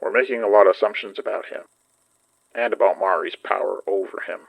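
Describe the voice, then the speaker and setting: An actor playing a troubled, worried man trying to keep his cool in an audio drama, with a gravelly voice. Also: low-pitched, fairly even intonation, brisk, low-quality recording. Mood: weary, concerned.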